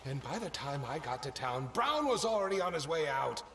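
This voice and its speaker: An actor playing a cowardly middle-aged politician in a video game drama, defensive, halting and pleading. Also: male voice, a little high, big pitch swings, a bit bright, roomy sound, slight background noise. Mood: panicked, defensive.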